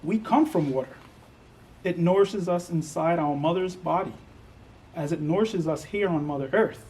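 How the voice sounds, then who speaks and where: A man's voice: a little high, normal speed, darkish tone. A man reading a children's picture book aloud. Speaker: storybook reader. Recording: distant mic with faint noise.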